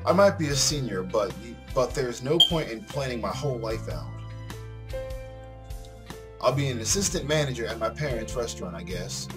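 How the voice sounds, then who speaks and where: A man giving casual let's play commentary and reading story text aloud, often halting and repeating phrases as he comments. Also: mid-range voice, lively intonation. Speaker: gaming video host. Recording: music behind the voice. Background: game music.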